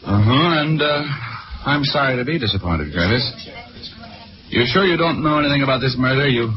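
An actor playing a sardonic, nonchalant American private detective and narrator in a 1940s radio drama. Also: male voice, medium pitch, animated, normal speed, crowd noise, natural voice. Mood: sardonic, probing. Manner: sardonic, nonchalant, hard-boiled. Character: wry, wisecracking male private detective. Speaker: lead actor and first-person narrator. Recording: dull 1940s radio recording with music.

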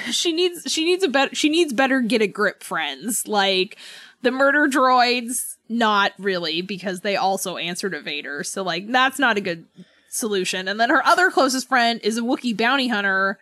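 Animated, higher-voiced woman chatting casually. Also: moderate pace, dry recording. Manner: animated, giggly, rambling fan talk. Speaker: podcast co-host. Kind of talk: casual book club chat.

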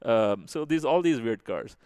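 A man's voice: mid-range voice, expressive, a little slow, a little mellow, smooth, no room sound, clean studio sound. A man from India with an Indian accent, chatting casually as a podcast guest. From Chennai, India. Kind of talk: casual interview chat.

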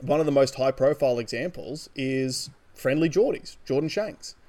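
An Australian man with a medium-pitched voice talks as a podcast co-host. He speaks casually but with opinion, leaning on and repeating words for emphasis. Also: moderate pace, darkish tone. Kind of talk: casual opinionated political discussion.

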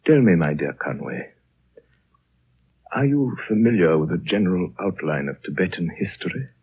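An actor playing an ancient, gentle and learned High Lama, speaking with slow courtesy in a 1930s radio drama. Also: male voice, expressive, husky, heavily acted. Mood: measured, scholarly. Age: older. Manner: slow, courteous, measured storytelling. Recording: muffled, narrow-band old radio broadcast.

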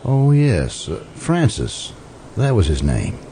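A man's voice: fairly low pitch, wildly swooping pitch, takes it slowly, dark tone, full character voice. An actor playing a stern, suspicious man guarding a murderer in an American radio drama, blunt and accusing. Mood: probing. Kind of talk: old-time radio drama acting. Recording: amateur radio recording, faint background noise.

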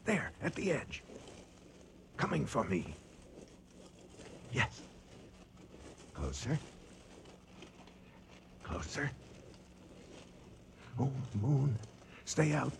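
A lone hunter in a radio play, worn out and gripped by fear. His delivery is extremely slow and broken, with wide swings of pitch over a faintly noisy recording. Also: very animated, creaky, full character voice. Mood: tense, watchful. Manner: halting, broken fragments, muttering repetition. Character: exhausted, terrified lone hunter stalking a lion at night. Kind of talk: dramatic radio monologue.

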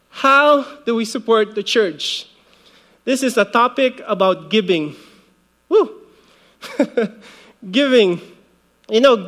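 A man in his forties, from the Philippines, preaches in a very high voice for a man. He talks to the congregation conversationally, mixing scripture with personal asides and easy laughter. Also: fairly bright tone. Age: 40s. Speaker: pastor. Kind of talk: sermon.

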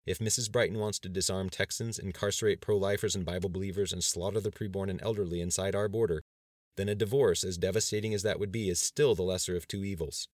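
A man's voice: low voice, fairly even intonation, fast talker, darkish tone, no echo, studio quality, natural voice. A man reading the part of a resolute, pious male governor in an audiobook, with firm, solemn oratory. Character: resolute, pious male state governor rallying his cabinet. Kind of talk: dramatized audiobook dialogue.

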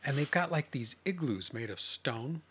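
Animated, bright-voiced man telling stories. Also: mid-range voice, normal speed, slight background noise. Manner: animated storyteller, mimics sounds playfully. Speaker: podcast co-host. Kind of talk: casual comedic chat.

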